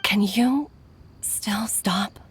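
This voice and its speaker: A woman's voice: medium pitch, very slow, a little hiss or hum. A woman playing a grave, worried mother and doctor in a video game, earnest and reflective. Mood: pleading, worried. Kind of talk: dramatic video game dialogue.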